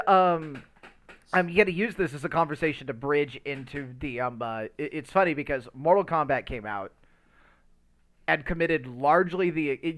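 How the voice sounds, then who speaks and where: A man's voice: a little high, fairly bright tone. A man co-hosting a podcast in casual discussion, rambling and often stammering over repeated words as he works through his thoughts. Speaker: podcast co-host. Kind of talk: casual movie discussion chat.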